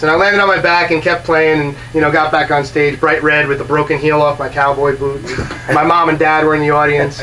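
Laid-back Brooklyn-raised musician man. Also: on the high side. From Brooklyn, New York. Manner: easygoing, laughs often, rambling anecdotes. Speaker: guest musician. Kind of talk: casual chat. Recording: distant mic with faint noise.

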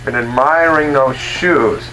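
A man's voice: medium pitch, slow pace. A man hosting a casual music talk show, chatty and complimentary, often restarting his sentences. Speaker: host. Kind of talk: casual interview chat. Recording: distant mic with faint noise.

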